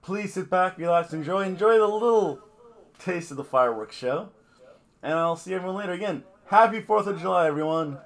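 A man in his fifties with a slightly hoarse voice, talking casually to a webcam at home and then calling wrestling video game matches in a loud announcer manner. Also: high voice, fairly quick. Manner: loud, excited play-by-play announcing; quiet and relaxed when talking to camera. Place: home office room with bookshelves. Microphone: webcam at desk. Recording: echoey room, distant mic, loud background noise.

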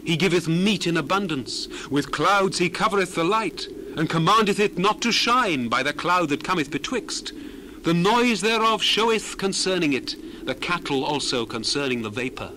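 Solemn actor as an earnest biblical orator. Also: male voice, a little high, animated, moderate pace, background noise, natural voice. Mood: fervent, admonishing. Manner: stately, emphatic, archaic scripture phrasing. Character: earnest biblical orator proclaiming God's greatness. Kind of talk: dramatized Bible reading, declamatory and solemn.

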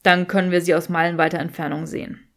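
A woman's voice: lowish voice, level tone, fast, fairly bright tone, pitched below the performer's own voice. A woman voicing a smug, sardonic young male wizard with a dry, teasing manner, in an audiobook story. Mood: cool, decisive. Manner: dry, teasing, self-satisfied.

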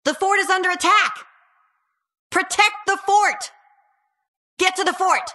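Bright, high-voiced flirty game heroine. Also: female voice, measured pace, full character voice. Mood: urgent, alarmed. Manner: flirtatious, playful, dramatic. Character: playful, lovestruck winged female warrior hero. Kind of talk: video game hero voice lines, short combat barks.

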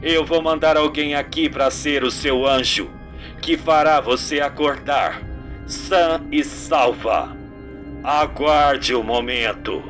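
A performer voicing a solemn, gentle divine male figure from a dream in a radio soap opera. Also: mid-range voice, slow pace, faint music behind, pitched below the performer's own voice.

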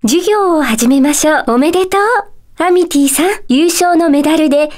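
A woman playing a gentle, polite magic-school teacher in a Japanese video game, sweetly composed, with coy, teacherly phrasing. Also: high-pitched, normal speed, close to an everyday voice. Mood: cheerful, encouraging. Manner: polite, soft-spoken teacherly phrasing with playful coyness. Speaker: voice actor.

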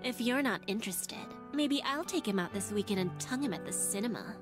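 A woman's voice: a little high, lively intonation, brisk, pitched below the performer's own voice, lightly acted. A woman voicing a mocking, brash young female office bully in a visual novel, with slangy, taunting delivery. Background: game music.

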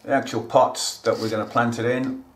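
A man's voice: mid-range voice, flat delivery, normal speed, noisy background. Chatty man showing plants. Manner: chatty, plain-spoken, talks to viewers directly. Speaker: gardening channel host. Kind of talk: casual how-to vlog. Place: indoors at home, kitchen counter.

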